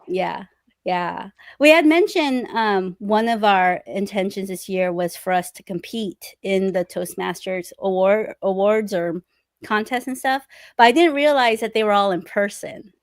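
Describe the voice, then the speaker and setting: A woman with a mid-range voice hosts a relaxed interview over a video call. She asks her questions thoughtfully and laughs easily. The recording is dry and close. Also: mid-range voice, unhurried, no echo. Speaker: interview host.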